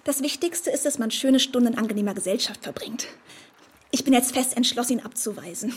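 A woman's voice: on the high side, a little fast, breathy edge. An actress playing a naive, wavering young woman eager to please in a period radio drama, hesitant, breathless and girlish. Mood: eager, unsure.

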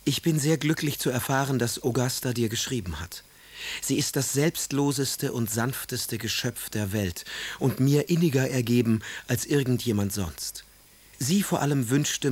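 A man plays a moody aristocratic poet in a radio drama. His voice is husky and rough-edged. He delivers literary letters with wry, sardonic eloquence, as a doubting bridegroom. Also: medium pitch, close to an everyday voice. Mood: tender, courteous. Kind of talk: dramatised reading of letters, German radio play.

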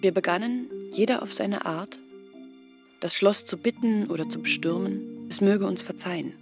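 A woman's voice: mid-range voice, moderate pace, over music, natural voice. An actress playing a young village woman, weary and bitter, earnestly recounting her family's disgrace in a radio play. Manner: quiet, earnest storytelling.

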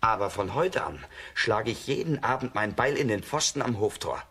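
Stern farmer's son, sharp husky low voice, blunt, impatient, children's radio drama. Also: male voice, expressive, moderate pace, full character voice. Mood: gruff, resentful. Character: gruff, hard, impatient grown peasant son. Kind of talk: German children's radio play acting.

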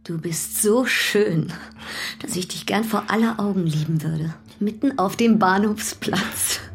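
A woman's voice: mid-range voice, unhurried, faint music behind, lightly acted. An actress playing a passionate, insistent married woman, speaking intimately and questioningly in a German radio drama. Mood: seductive, tender.

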